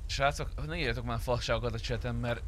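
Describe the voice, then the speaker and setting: A man's voice: medium pitch, steady tone. A man live-streaming a roleplay game, chatting casually with a blunt, sweary manner. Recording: clear background noise, vehicle sounds.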